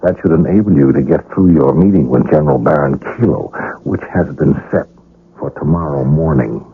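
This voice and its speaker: An actor playing a cool, sardonic handler of hired killers in a 1970s radio drama, measured and quietly menacing. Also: male voice, very deep voice, very animated, vocal fry, slightly rough, exaggerated voice. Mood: coolly menacing. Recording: muffled vintage radio broadcast.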